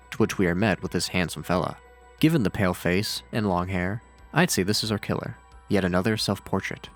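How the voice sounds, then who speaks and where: Deep voiced guy doing horror commentary, dry sarcastic asides, faint music behind him. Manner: dryly sardonic. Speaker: video narrator and reviewer. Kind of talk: scripted horror series commentary with casual asides.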